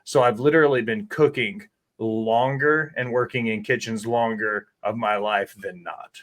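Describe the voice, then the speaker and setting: A man's voice: on the low side, steady tone, measured pace, a bit bright. Man, 30s, chatty guest chef, candid storyteller, salty language. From Tennessee. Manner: candid, rambling storyteller who swears freely. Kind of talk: casual interview talk.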